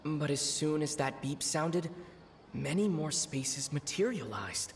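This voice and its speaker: A man voicing a pensive young traveler in an anime video game, musing in a deliberate, hesitant way. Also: on the high side, expressive. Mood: pondering. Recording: long reverb, clearly noisy game audio.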